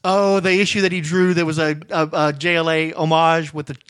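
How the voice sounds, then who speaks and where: A man hosting a relaxed comics chat, asking questions in an informal, knowledgeable way. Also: fairly high pitch, fairly quick, smooth, dry recording, clean studio sound. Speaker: podcast host. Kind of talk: casual interview chat about comics.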